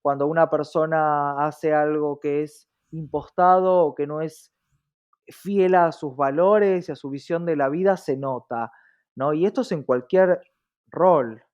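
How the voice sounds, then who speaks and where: A man's voice: a little high, measured pace, a little mellow, smooth, muffled recording. A man with an Argentine accent talking reflectively in a conversational interview, often checking in with short tag questions.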